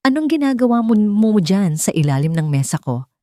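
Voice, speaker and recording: A woman's voice: medium pitch, big pitch swings, moderate pace, dark tone, no room sound, clean studio sound, natural voice. A woman voicing a curt, controlled, commanding wealthy man in a romance audio story. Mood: irritated. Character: irritated, commanding, smooth-talking wealthy man.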